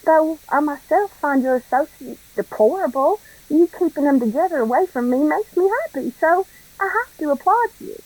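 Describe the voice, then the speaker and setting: Woman acting Southern crime boss, high voice, falsely courteous, theatrical drawl, scheming, radio drama. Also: expressive, moderate pace, darkish tone, rough recording. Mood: sly, persuasive.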